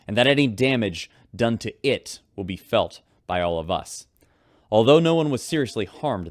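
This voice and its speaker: Man acting male sci-fi radio host, lowish pitch, dry studio sound, scripted news delivery, folksy, wry. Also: no echo. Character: folksy male radio newscaster aboard a spaceship. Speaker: radio host and live reporter.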